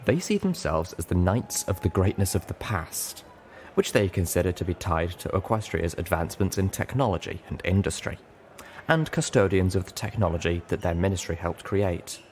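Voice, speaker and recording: Man reading as a frank, worldly pony mare, low-pitched. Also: lively intonation, normal speed, a little mellow, a little hiss or hum. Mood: explaining, frank. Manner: matter-of-fact, explaining, dryly frank. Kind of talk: audiobook character dialogue.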